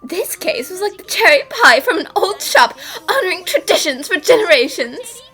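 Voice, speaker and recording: A woman's voice: extremely high pitch, sing-song, normal speed, pushed and bright, faint music behind, full character voice. A woman playing a perky, inquisitive young lady detective with a theatrical manner in a fan-dubbed cartoon. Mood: triumphant. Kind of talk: fan-dub cartoon acting.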